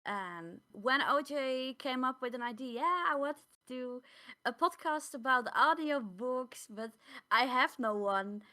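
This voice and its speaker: A woman's voice: high-pitched, expressive, measured pace, pushed and bright. A woman co-hosting a podcast in a casual, conversational style. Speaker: podcast co-host.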